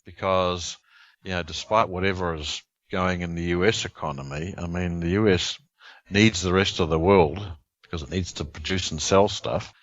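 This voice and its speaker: A man's voice: sits low, fairly even intonation, moderate pace. A man with a Texan accent co-hosting a podcast in casual chat, making wry asides with a chuckle. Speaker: podcast co-host. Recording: dry, dull lo-fi sound.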